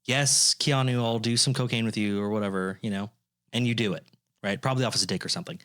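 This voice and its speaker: A man in his mid-twenties co-hosts a podcast. He talks in casual, teasing banter, pushing his jokes along and cracking into high laughs. His pitch sits in a typical middle range. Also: fast, fairly bright tone. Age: 20s. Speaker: podcast co-host.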